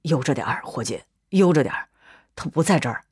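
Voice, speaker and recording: A woman reading an audiobook as a guilt-ridden, numb young man who speaks in a low, subdued, level way. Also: fairly quick, breathy edge. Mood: gently urging. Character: guilt-ridden, numb young Englishman confessing and urging his friend.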